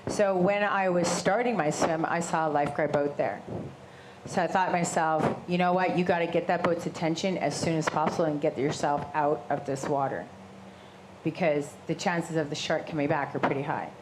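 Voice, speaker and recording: A woman with a big voice telling her story to a live audience, animated and gesturing as she talks. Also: low voice, moderate pace, noisy background. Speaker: shark attack survivor and guest speaker. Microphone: handheld microphone.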